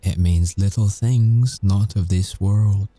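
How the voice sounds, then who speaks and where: A man acts out a brooding, flirtatious werewolf ex-agent for an intimate audio roleplay. His voice is deep and dark, recorded close and dry. He confesses wearily, leaving deliberate pauses between halting phrases. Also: fairly even intonation, dry recording. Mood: wry, guarded.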